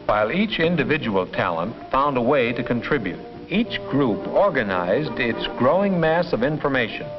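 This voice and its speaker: A man playing an earnest schoolteacher, narrating a 1950s educational film in measured, formal phrases. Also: medium pitch, sing-song, natural voice. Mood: proud. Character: earnest male schoolteacher narrating his class's progress. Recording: dull 1950s film soundtrack with music.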